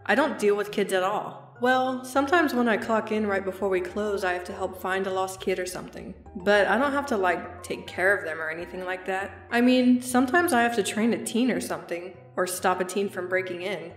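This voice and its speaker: A woman's voice: medium pitch, fairly quick, hardly any pauses, natural voice. A woman voicing a curt, irritable night security guard in a comic dub, blunt and dismissive in manner. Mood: defensive, blunt. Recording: echoey, faint music behind.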